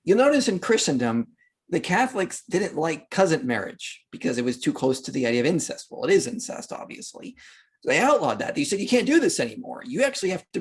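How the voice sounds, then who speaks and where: A man's voice: a little high, breathy edge. A man speaking as a podcast guest, explaining history in an emphatic, conversational way and acting out imagined quotes. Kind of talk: animated explanatory discussion of history.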